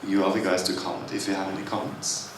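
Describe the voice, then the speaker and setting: A man with a low voice moderates a panel on stage, speaking casually and filling pauses with "uh" and "um". He is far from the microphone in a very echoey hall. Clear background noise runs under his voice. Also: little pitch movement. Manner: informal, loose, with many fillers.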